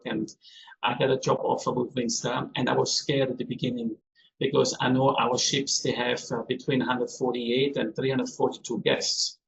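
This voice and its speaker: A man's voice: mid-range voice, creaky. A man in his fifties from Austria, a cruise hotel manager answering interview questions in a chatty way, calling in from a ship. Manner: chatty, with some non-native phrasing. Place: on board a ship, remote call. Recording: slightly dull call audio with faint noise.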